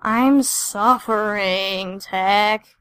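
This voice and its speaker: A woman's voice: medium pitch, extremely slow, a bit bright, clean tone, no echo. A flippant, theatrical young male superhero acted by a woman narrator drawls with exaggeration in an audiobook story. The sound is dry and close. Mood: mock groaning.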